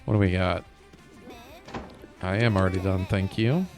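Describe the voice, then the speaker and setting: A man's voice: sits low, normal speed, darkish tone. Casual male game streamer thinking aloud. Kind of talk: casual live gameplay commentary. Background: game music.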